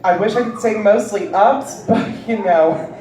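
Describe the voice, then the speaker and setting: A woman with a deep voice doing a stand-up comedy set with a wry, deadpan delivery, heard on stage before a live audience. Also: a little slow. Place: large indoor venue with live audience. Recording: echoey hall recorded from far off, loud crowd. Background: audience cheering and laughing.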